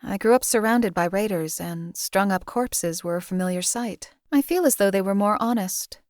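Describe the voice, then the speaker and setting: A woman voicing a cold, detached female hired assassin who speaks in a measured, formal, cynical way. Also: mid-range voice, dry recording, studio quality, natural voice. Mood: cool, detached. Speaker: companion character voice. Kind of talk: game voice lines.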